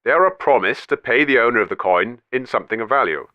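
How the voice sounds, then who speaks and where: Man doing a condescending old banker, lively pitch, dull muffled sound. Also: quick pace, dry recording, close to an everyday voice. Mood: haughty. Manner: haughty, condescending. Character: pompous, greedy old male banker. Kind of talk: comedy radio sketch.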